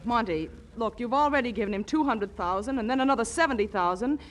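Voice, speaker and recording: An actress playing a scolding, pleading young sweetheart who is sharp-tongued and exasperated, in a 1940s radio comedy. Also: female voice, on the high side, animated, fast, close to an everyday voice.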